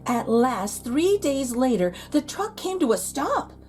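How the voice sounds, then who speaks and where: Slow, animated female story reader for children, fairly high voice. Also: faint music behind. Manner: slow, expressive storytelling with lively pitch. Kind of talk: picture book read-aloud.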